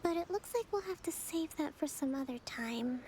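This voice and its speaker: Gentle young leader, high-voiced. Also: female voice, lively intonation, slight background noise. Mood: gracious, weary. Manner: polite, measured. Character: gentle young female island leader, composed but overworked. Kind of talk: video game voice acting. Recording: game music behind the voice.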